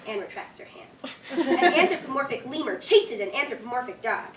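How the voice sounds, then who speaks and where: Young female narrator for script action lines, slightly high voice, picked up from a distance. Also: lively intonation, normal speed. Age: young adult. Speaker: stage-directions reader. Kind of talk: scripted narration, read aloud. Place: echoey indoor room at a staged reading. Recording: echoey room, distant mic, faint noise.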